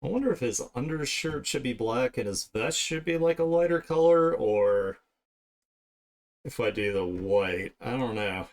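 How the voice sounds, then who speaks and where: A man's voice: medium pitch, expressive, unhurried. A man chatting casually on a livestream as he works, thinking aloud and often trailing off mid-thought. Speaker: streamer, digital artist. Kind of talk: casual livestream chat while drawing.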